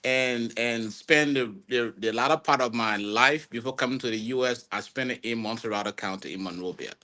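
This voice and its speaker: Measured Liberian-accented male guest. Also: medium pitch, pushed and bright. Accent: Liberian English. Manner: measured, halting, with restarts. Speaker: community association president, guest. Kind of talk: talk show guest interview.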